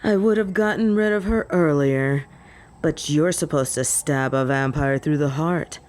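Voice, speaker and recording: Woman voicing a low, slow, flirty werewolf woman. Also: a little mellow. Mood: smug, coaxing. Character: flirty, cocky female werewolf, possessive and teasing. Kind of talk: roleplay audio drama.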